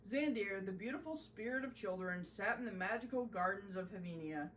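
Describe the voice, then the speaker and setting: A woman narrating a children's storybook in an expressive, deliberate storytelling manner. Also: medium pitch, recorded from a distance, a little hiss or hum, low-quality recording. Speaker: host reading a children's story.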